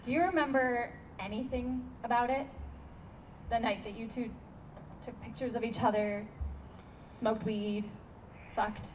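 Sharp, sardonic young woman, acted by a woman, far off. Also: fairly high pitch, steady tone, measured pace, long pauses, close to an everyday voice. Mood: pointed, wounded. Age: 20s. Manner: pointed, challenging, argumentative. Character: sharp, sardonic, bookish young woman confronting an older man. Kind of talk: staged play reading. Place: outdoor stage against a block wall. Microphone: handheld stage microphone, heard from afar. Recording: distant handheld mic, noticeable background noise.

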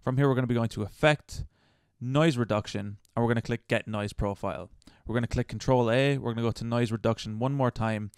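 Fast-talking man hosting a tutorial. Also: mid-range voice, darkish tone, smooth, dry recording, studio quality. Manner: informal, chatty. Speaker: tutorial host. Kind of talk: casual step-by-step software tutorial.

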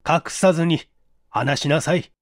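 Man acting a firm, high-pitched police chief. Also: unhurried, dry recording, studio quality. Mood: gently coaxing. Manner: pressing, authoritative, formal questioning. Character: firm but kindly male police investigations chief. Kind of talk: dramatized audiobook reading.

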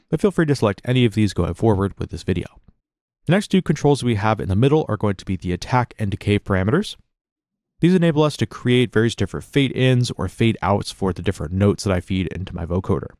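Guy explaining music software, talks real fast and casual, super dry close-mic sound. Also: fairly low pitch, no room sound. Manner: conversational, explanatory. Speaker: music production tutorial instructor. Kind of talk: casual software tutorial narration.

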